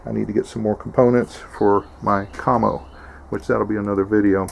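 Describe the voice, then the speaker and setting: A man's voice: on the low side, level tone, fairly quick, gravelly, slight background noise. A man giving a casual, plainspoken instructional vlog in a folksy way, like a host showing viewers his homemade setup. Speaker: host of homesteading video channel.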